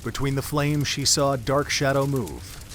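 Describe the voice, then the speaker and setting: A man's voice: medium pitch, moderate pace, fairly bright tone, a little hiss or hum. A man giving solemn, literary scripted narration for an audio drama.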